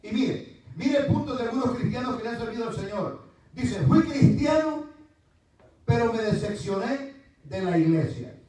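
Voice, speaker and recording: A man preaching with fervent energy, his voice pitched very high for a man. He acts out the words of others and breaks into calls of praise. The sound is boomy and echoing, picked up from some distance. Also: normal speed, long pauses. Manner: fervent, animated, acts out quotes, exclaims praise. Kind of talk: sermon, livestreamed church program. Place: church. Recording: echoey room, mic at a distance.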